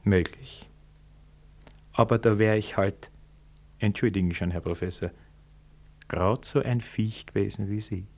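An actor playing a worldly, genially cynical senior civil servant with an Austrian accent and a dry, ironic manner, in a radio play. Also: male voice, lowish voice, unhurried, creaky, pauses often, darkish tone. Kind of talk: German radio play acting.

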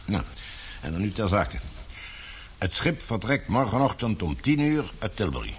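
A middle-aged, fatherly employer in a Dutch radio play. His voice is very low, with measured authority and a businesslike turn. The recording carries a faint hiss. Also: very animated. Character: businesslike, fatherly older male employer, concerned for daughter. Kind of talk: Dutch radio drama acting.

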